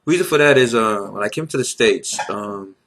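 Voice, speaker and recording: A man's voice: lowish voice, lively intonation, moderate pace, fairly bright tone, slight background noise. A tired young man from Ivory Coast, a native French speaker, telling a casual story to his viewers with blunt swearing and rambling asides. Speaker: vlogger.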